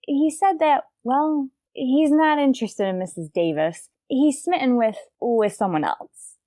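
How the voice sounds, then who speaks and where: A woman's voice: high-pitched, lively intonation, measured pace, warm tone, dry recording. Woman playing a wry schoolteacher, dry close sound. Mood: worried. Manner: wry, arch, polite. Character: wry, quick-witted female high school teacher. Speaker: lead actress. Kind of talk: old-time radio comedy acting with narration.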